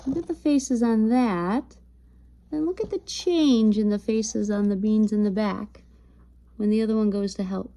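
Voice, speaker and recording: A woman reading a children's picture book aloud expressively, with animated emphasis, acted sound effects and chatty asides to her listeners. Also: medium pitch, unhurried, poor audio. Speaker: read-aloud storyteller.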